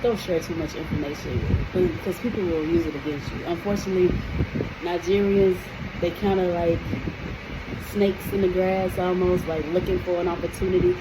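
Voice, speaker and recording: A woman's voice: lowish voice, steady tone, normal speed. An American woman in a casual to-camera vlog, talking in a chatty, emphatic way and checking in with the viewer often. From United States. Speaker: vlogger. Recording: noisy room with air conditioning hum.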